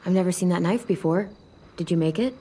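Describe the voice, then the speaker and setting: A woman playing a weary, caring teenage girl survivor in a video game drama, speaking gently and softly with concern. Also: on the low side, a little fast, breathy edge. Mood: gentle concern. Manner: gentle, soft-spoken, concerned.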